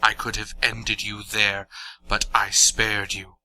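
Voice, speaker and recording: A man's voice: on the low side, level tone, takes it slowly, bright, forceful tone, no room sound, full character voice, low-quality recording. A man playing an ancient, coldly menacing vampire lord in an audio drama, speaking in an imperious, formal, disdainful way.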